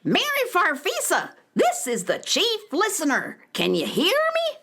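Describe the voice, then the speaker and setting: High-pitched, pompous alien Chief Listener. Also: female voice, wildly swooping pitch, unhurried, background noise, exaggerated voice. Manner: boastful, mishears what is said. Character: pompous, hard-of-hearing alien Chief Listener, elderly and fussy. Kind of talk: children's space radio play.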